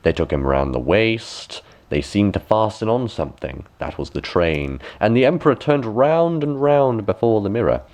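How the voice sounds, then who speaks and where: Deep-voiced man, very animated storyteller. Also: normal speed, few pauses. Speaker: audiobook reader. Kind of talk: expressive fairy tale narration.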